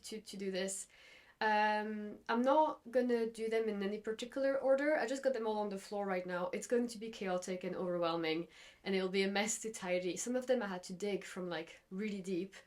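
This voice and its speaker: A young woman from Belgium hosting a knitting podcast from her craft room at home, chatting casually and rambling with lots of fillers and restarts. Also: medium pitch.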